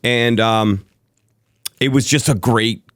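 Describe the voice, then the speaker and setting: A man's voice: medium pitch, steady tone, slow pace, a bit bright, dry recording, clean studio sound. A middle-aged man with a mixed East Coast and Midwest American accent, telling long personal stories as a podcast guest, rambling with frequent ums and restarts. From Chicago area, USA. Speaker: interview guest. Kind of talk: candid storytelling conversation.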